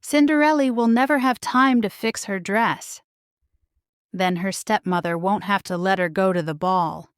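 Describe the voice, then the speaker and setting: Little storybook mouse, done by a woman: caring, eager, excitable, clean dry sound. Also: mid-range voice, normal speed, clean tone, no echo, close to an everyday voice. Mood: sympathetic. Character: friendly, eager little helper mice.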